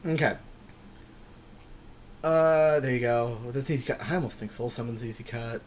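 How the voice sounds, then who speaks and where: A man's voice: mid-range voice, moderate pace, slight background noise. A man co-hosting a podcast, talking casually and agreeably in slangy language as he goes through a card game draft. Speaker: podcast co-host.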